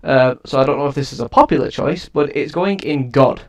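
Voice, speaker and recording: A man's voice: mid-range voice, a little fast, creaky. A man giving a casual spoken review of an album, rambling and often restarting his sentences. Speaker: music reviewer and producer.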